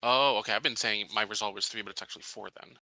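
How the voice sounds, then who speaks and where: Male tabletop player, rapid and forceful, matter-of-fact and blunt in casual game chat. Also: on the low side, dry recording.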